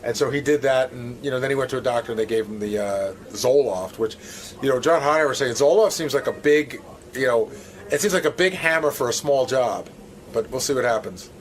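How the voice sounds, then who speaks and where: A man's voice: medium pitch, fairly quick. A man giving casual, speculative interview answers, explaining things as he goes. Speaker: interviewed show staffer. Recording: faint background room noise.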